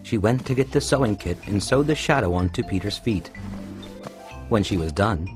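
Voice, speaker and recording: A man's voice: on the low side, lively intonation, normal speed. A man narrating a scripted children's storybook tale. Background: music.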